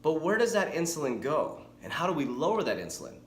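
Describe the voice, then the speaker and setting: A man's voice: a little high, quick pace, reverberant, a little hiss or hum. A man in his forties, a fitness coach, talking energetically and chattily to camera with frequent restarts. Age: 40s. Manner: energetic, chatty, self-correcting. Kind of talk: casual talking-head vlog.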